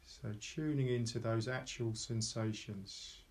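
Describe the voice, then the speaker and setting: A man leading a guided meditation in slow, soft instruction, calm and unhurried, with pauses between short phrases. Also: medium pitch.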